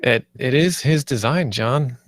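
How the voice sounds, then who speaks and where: A man's voice: medium pitch, a little slow, dry recording, studio quality, close to an everyday voice. A man playing an earnest, idealistic theme park planner in a screenplay table read, a little hesitant. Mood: defensive, earnest. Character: earnest, idealistic male theme park planner named Marty, nervous, visionary.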